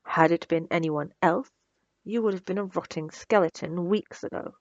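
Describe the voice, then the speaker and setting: A woman's voice: on the low side, moderate pace, no room sound, lo-fi sound, lightly acted. A woman playing a smug, sarcastic female supervillain who taunts her captive with theatrical condescension in an ASMR roleplay. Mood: menacing, firm. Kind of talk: ASMR roleplay audio drama.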